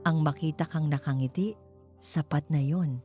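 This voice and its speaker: Lady narrator as a devoted filipino guy hero, few words, low voice, romance story with music under it. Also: normal speed, dark tone, lightly acted. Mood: tender. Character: devoted, determined billionaire man posing as a laborer.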